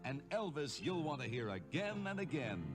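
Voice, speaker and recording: A man's voice: fairly high pitch, big pitch swings, normal speed. A man reading a scripted radio advertisement for a record album as a commercial announcer. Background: loud music.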